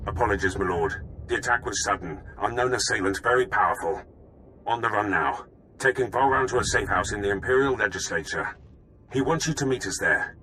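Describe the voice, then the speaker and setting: An actor voicing a dutiful Imperial lieutenant in a video game, clipped and formal. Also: male voice, fairly low pitch, expressive, fairly quick, sharp tone, a little hiss or hum, low-quality recording. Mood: urgent, apologetic. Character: crisp, dutiful male Imperial military lieutenant.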